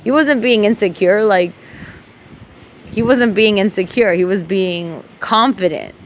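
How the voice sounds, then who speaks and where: A woman's voice: mid-range voice, measured pace, slight background noise. Rambling, profane woman switching languages. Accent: rural Levantine Arabic when speaking Arabic. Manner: emotional, profane, switches between Arabic and English. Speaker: vlogger talking to herself. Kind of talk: rambling personal monologue.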